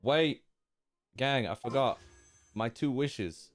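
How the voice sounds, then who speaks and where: A man's voice: medium pitch, expressive, takes it slowly, soft background music. A young man giving casual, slangy, playful reactions as he streams a game.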